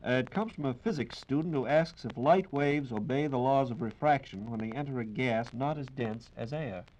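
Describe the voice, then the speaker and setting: A man's voice: medium pitch, normal speed, a little mellow, poor audio. An American man hosting a 1940s radio program in a formal, polished and courteous announcing style.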